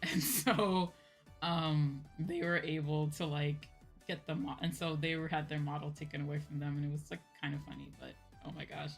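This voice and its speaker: A woman streamer chatting casually with her viewers in an animated, informal way, often checking in as she goes. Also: low voice, normal speed, creaky. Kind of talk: casual livestream chat. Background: background music.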